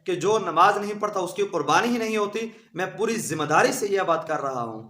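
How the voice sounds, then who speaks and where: A male cleric with a sore, hoarse throat delivers a scolding rebuttal in a fairly high voice. His emphatic, indignant speech rings around an echoey room. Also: animated, fairly quick, sharp tone. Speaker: Islamic cleric.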